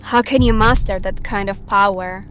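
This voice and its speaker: A woman's voice: mid-range voice, normal speed, fairly bright tone, a little hiss or hum, natural voice. A woman playing a plucky young survivor in an audio drama, with a wry, casual, direct way of talking. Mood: earnest, awed. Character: wry, plucky young female survivor seeking to stop an apocalypse.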